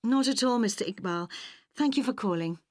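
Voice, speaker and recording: A woman acting a polite, efficient university admissions officer in a scripted listening-test dialogue, speaking clearly. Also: fairly high pitch, lively intonation, fairly quick, darkish tone, no room sound, studio quality, close to an everyday voice. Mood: polite, businesslike. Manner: polite, clearly enunciated, businesslike.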